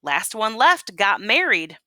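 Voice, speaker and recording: A woman's voice: mid-range voice, big pitch swings, takes it slowly, pushed and bright, dry recording, studio quality, full character voice. A woman voicing a hearty male mayor of a Western town in a storybook, with folksy talk. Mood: jovial.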